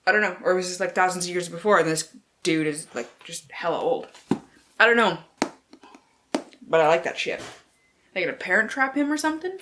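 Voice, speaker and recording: A woman's voice: on the low side, expressive, brisk, long pauses, noisy background. Lady doing a casual book vlog, super dramatic and playful, swears a lot, slangy. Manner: theatrical, playful delivery. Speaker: book vlogger.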